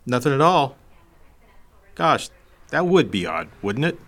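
An actor playing a friendly stereo repair man in an audio drama. He sounds folksy and easygoing, with a mid-pitched voice that rises and falls freely. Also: sing-song, measured pace, fairly bright tone, exaggerated voice. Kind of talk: naturalistic audio drama acting.

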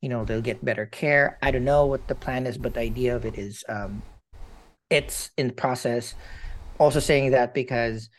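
A man giving personal updates in a casual chat, rambling and halting with many fillers and casual swearing. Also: mid-range voice, fairly even intonation, slight background noise.

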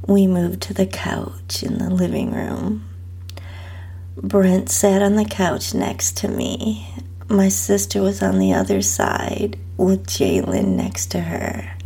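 A woman with a breathy, whispery, husky voice narrates a first-person story in an intimate, sultry, hushed manner. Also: mid-range voice. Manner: slow, hushed, sultry delivery. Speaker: host and narrator of own story podcast.

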